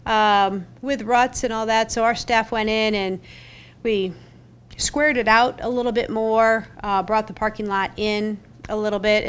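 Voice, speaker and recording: A woman's voice: on the high side, clean tone. A middle-aged woman giving an upbeat, chatty parks update at a public board meeting, speaking at a podium mic in a council chamber, with many fillers. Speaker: parks staff presenter. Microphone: podium gooseneck mic.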